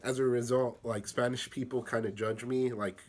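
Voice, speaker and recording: A young man from the United States answering interview questions in a halting, casual way, with many fillers and restarts. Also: mid-range voice, steady tone, darkish tone.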